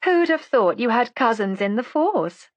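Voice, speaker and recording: A woman's voice: a little high, expressive, moderate pace, no echo, muffled recording, voice pushed up. A woman voicing a breezy upper-class lady in a storybook, with a pleasant, emphatic, teasing drawl. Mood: breezy, delighted.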